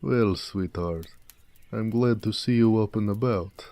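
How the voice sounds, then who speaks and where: A man playing a gentle, affectionate orc blacksmith in a romantic audio roleplay, speaking tenderly with some teasing. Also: on the low side, a little slow, a little hiss or hum. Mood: fond, teasing.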